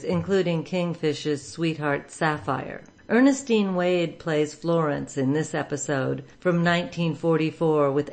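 Low-pitched woman hosting radio. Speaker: radio show host. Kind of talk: scripted introduction narration.

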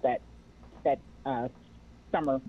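A woman's voice: a bass voice, steady tone, slow pace. A middle-aged woman with an American accent, talking conversationally as an interview guest. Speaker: guest, mental health nonprofit director. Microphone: remote call. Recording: narrow tinny radio-like call audio.

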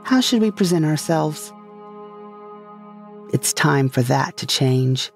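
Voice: medium pitch, lively intonation, slow pace. A narrator delivering a scripted dramatic monologue in a sci-fi audio drama. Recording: faint music behind the voice.

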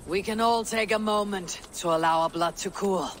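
Brief: steady Viking chief, slightly high, firm and level, faint outdoor hiss. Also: male voice, moderate pace. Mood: resolute. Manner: firm, composed, commanding. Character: steady Viking clan leader, calm and resolute. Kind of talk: video game cutscene acting.

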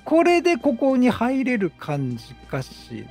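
Chatty guy streaming a game, voice kinda high and husky, music behind. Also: wildly swooping pitch, a little slow, darkish tone. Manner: chatty, reads dialogue aloud in acted voices. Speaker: let's play streamer. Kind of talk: casual game commentary with improvised character dubbing. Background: video game music.

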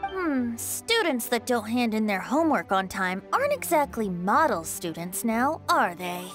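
A woman performs a boyish bard in a video game, teasing and airy. The voice sits high and swings playfully across its range. Faint music runs beneath it. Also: sing-song, a little slow, fairly bright tone. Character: youthful, impish male bard, breezy and teasing. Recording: music over the dialogue.

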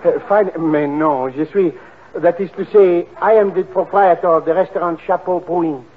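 A tinny old radio recording of an excitable French restaurant proprietor. His high, husky voice has a thick French accent, and he is flowery and fawning in the manner of period radio comedy. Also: moderate pace. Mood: eager, flowery. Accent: put-on French. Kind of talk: 1940s radio comedy acting. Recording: tinny, band-limited 1940 radio recording.

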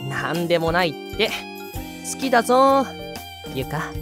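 A man playing a breezy, smitten high school boyfriend in an anime-style audio drama. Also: very animated, measured pace. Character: cocky, lovestruck high school boy, doting boyfriend. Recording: loud music underneath.

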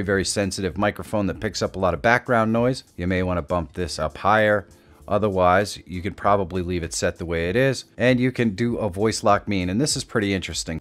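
A man hosting a software tutorial, explaining things step by step as narration. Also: deep voice. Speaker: tutorial host. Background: background music.

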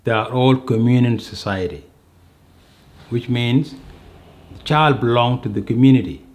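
Middle-aged male interviewee, measured and explanatory, mid-range voice, indoor sit-down. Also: medium pitch, noisy background. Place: indoor sitting room.

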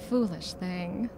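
A woman playing a cruel, taunting muse, a writer's imagined creation, in a theatrical audio drama style. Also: medium pitch. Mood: eerie, mocking. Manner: theatrical, mocking. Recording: loud noisy background.

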